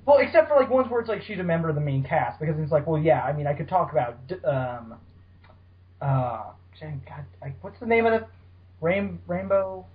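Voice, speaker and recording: A man's voice: on the high side, animated, a little fast. A man hosting a podcast, chatting casually about fan fiction with banter and frequent swearing. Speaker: podcast host. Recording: dull lo-fi podcast audio.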